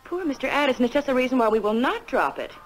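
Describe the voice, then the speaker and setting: Young female private eye, self-assured, high and animated, 1960s television detective drama. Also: quick pace, soft background music. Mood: determined. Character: confident young female private detective undercover as cigarette girl.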